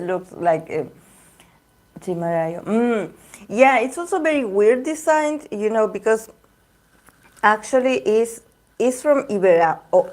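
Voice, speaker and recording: A woman chats easily with her livestream viewers in English. Her voice sits a little low, and she often repeats or restarts words as she talks. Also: darkish tone. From Argentina. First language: Spanish. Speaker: tarot reader livestream host. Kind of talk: casual livestream chat with viewers.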